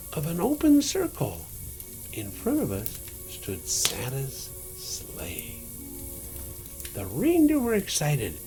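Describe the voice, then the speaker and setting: A man reading a children's story aloud in a theatrical, drawn-out way, as a Santa performer. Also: on the high side, vocal fry, dark tone, rough recording. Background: music.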